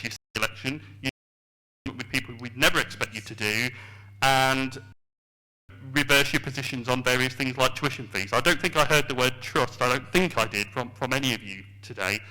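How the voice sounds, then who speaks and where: A man's voice: mid-range voice, sharp tone, rough recording. Earnest man speaking from the floor. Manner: earnest, plain-spoken. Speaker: former local councillor. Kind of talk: comment from the floor.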